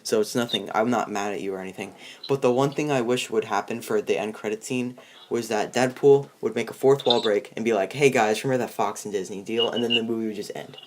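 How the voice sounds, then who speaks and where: A man's voice: on the low side, quick pace, a little hiss or hum. A man hosting a casual movie discussion, rambling and often stumbling over his words as he talks. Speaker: host of a movie review channel.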